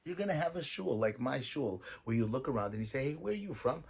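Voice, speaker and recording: A man, a rabbi, giving a weekly Torah talk, reading verses and explaining them in lively English. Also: mid-range voice, fast, creaky, slightly rough. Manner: mixes Hebrew verses with English explanation, animated.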